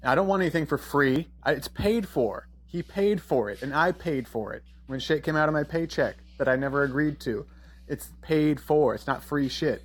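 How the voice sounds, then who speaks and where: A man's voice: a little high, animated, moderate pace. A man in a podcast debate, arguing politics in a blunt, combative way and swearing freely.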